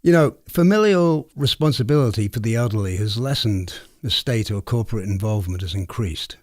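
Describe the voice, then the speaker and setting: A man's voice: lowish voice, lively intonation, fairly quick, a little mellow, dry recording, studio quality. A man hosting a literary interview in a reflective, conversational way. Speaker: podcast host.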